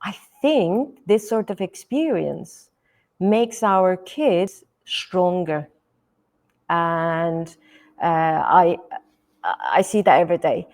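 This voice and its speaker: A woman's voice: lowish voice, takes it slowly, a little mellow. A middle-aged woman from Turkey, a native Turkish speaker, speaking as a guest in a podcast interview, thoughtful, with many fillers. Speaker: guest educator.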